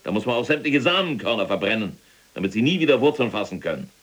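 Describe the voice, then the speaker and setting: A man's voice: medium pitch, big pitch swings, quick pace. A man playing an imprisoned, fanatical older SS general in a German audio drama, pompous and lecturing in manner. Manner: pompous, lecturing, indignant when contradicted. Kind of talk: German audio drama acting, monologue and argument.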